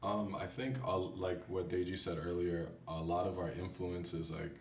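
A man chatting casually as a music producer guest, telling stories in a loose, halting way. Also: low voice, fairly even intonation. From born in Haiti. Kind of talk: casual chat. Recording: roomy, distant mic with faint background noise.

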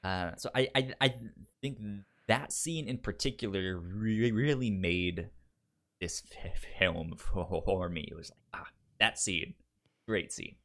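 Halting, stammering man in casual film chat. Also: low voice, sharp tone. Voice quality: stammer, repeated sounds and syllables. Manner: halting, informal. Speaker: film podcast co-host.

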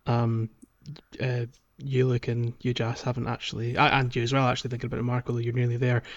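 A man hosting a casual podcast discussion, repeating words haltingly as he thinks, on a slightly dull recording. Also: mid-range voice, monotone. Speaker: podcast host.

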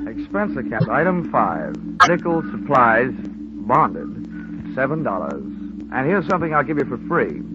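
An actor playing a world-weary, hard-boiled insurance investigator in a 1950s radio drama, with wry, sardonic, slangy delivery. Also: male voice, mid-range voice, very animated, unhurried. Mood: wry, matter-of-fact. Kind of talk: 1950s radio drama acting with first-person narration. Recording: muffled, noisy vintage radio recording.

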